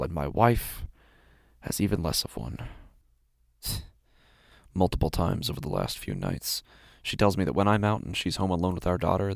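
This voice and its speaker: A man acts out a devoted father whose worry has turned obsessive, narrating a horror audio drama. His voice is low and full of creak, recorded very dry. He speaks haltingly and intensely, as if trying to justify himself. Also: no room sound, full character voice. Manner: hesitant, halting, intense, sighing. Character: devoted, anxious, obsessive father of a young daughter.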